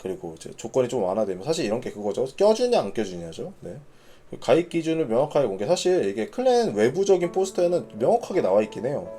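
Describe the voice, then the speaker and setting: Male community host for a live gaming announcement: relaxed, warm tone, animated pitch movement, conversational and explanatory. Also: fast talker. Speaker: gaming clan leader hosting announcement broadcast. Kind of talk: informal live announcement, reading notes and commenting.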